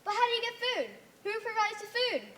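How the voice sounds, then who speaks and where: Outspoken, insistent girl outsider played by a woman; bright, lively intonation, distant-miked stage play. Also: very high voice, fast. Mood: curious. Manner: plain-spoken, insistent, emphatic. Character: stubborn, outspoken, homesick young girl, an outsider. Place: theatre stage.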